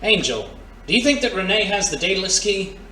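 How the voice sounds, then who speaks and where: A man's voice: high-pitched, very animated, brisk, sharp tone, full character voice. A man playing a brash, wisecracking ex-thief in a pulp adventure audio drama. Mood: wary, serious. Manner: wisecracking, slangy tough-guy talk. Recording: roomy, distant mic, faint noise.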